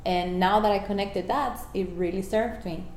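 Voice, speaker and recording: A woman's voice: medium pitch, fairly even intonation, normal speed, a little mellow. A woman whose first language is Spanish, giving earnest, motivational answers as a guest in an interview. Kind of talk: reflective interview talk on personal growth. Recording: echoey room with faint noise.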